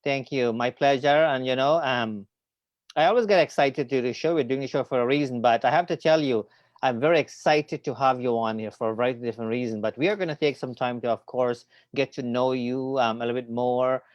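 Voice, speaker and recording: A man's voice: mid-range voice, a little fast, no room sound, highs cut off. A middle-aged man hosting an interview show over a video call from home, friendly and rambling with many fillers. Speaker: radio show host. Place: home room with trophies and bookshelf. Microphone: video call webcam.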